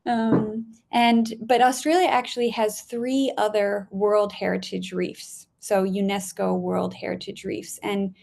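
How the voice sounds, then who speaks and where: Gravelly woman scientist from Spain. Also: mid-range voice, level tone, a little slow, few pauses, a little mellow. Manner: chatty, many ums and restarts, laughs often. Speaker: guest scientist. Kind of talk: casual interview over video call. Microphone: video call.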